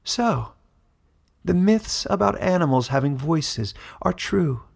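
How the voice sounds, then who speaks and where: Man voicing a sneering, cruel warrior queen, raspy, pitched up. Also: lively intonation, slow pace, heavily acted. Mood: mocking. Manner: scornful, sneering, theatrical. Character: cruel, mocking woman ruler, haughty villain. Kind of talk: dramatic fantasy audiobook character reading.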